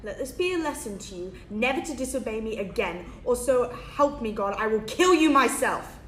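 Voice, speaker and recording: A woman's voice: a little high, very animated, brisk. A woman playing a harsh, bullying, self-pitying mother in a script table read, scolding and domineering. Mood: angry, domineering. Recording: echoey room with background noise.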